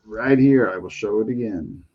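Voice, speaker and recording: A man hosting an online interview show, enthusiastic and quick to repeat words of praise. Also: lowish voice, dull audio, background noise. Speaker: podcast host.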